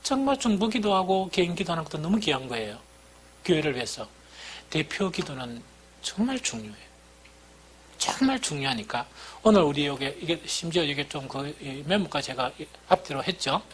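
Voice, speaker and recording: A man's voice: on the high side, very animated, normal speed, slight background noise. A middle-aged man with a Busan-area Gyeongsang accent preaching as a pastor in an informal, conversational teaching style, asking rhetorical questions and repeating key points.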